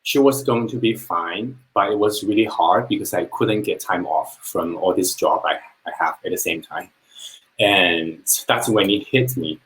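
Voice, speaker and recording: Earnest man from China, lower voice. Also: normal speed, a bit bright, low-quality recording. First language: Chinese. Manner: earnest, plain-spoken storytelling with small restarts. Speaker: business owner sharing his story. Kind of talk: personal testimonial on a live webinar.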